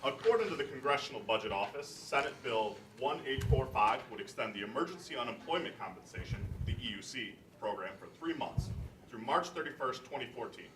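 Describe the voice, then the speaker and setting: A man's voice: medium pitch, animated, a little fast. A young man presenting at a student readers theater event in a roomy space. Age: young adult. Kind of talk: live readers theater introduction and reading. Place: college library event room. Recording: roomy, distant mic, loud noise.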